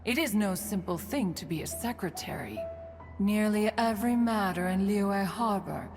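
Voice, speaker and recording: A woman's voice: medium pitch, moderate pace, close to an everyday voice. A woman playing a proud, fussy yet fond immortal adeptus in a video game, speaking in formal, archaic phrases and calling herself "one.". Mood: fond, solicitous. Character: stately immortal female adeptus, proud, fussy, fondly meddling. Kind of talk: video game character acting. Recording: long reverb, music behind.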